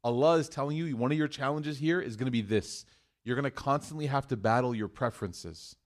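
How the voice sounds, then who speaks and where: Man preaching in a lively, joking way. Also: mid-range voice, fairly quick. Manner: conversational and joking, swinging between loud emphasis and quiet. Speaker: mosque speaker. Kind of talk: live sermon. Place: room with an audience.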